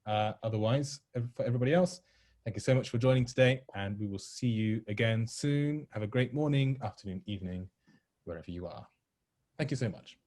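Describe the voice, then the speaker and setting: A man's voice: mid-range voice, fairly quick, darkish tone. A man hosting an online event informally, speaking politely and reassuringly, with frequent hesitant ums and uhs. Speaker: host of an online play table read. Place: online video call.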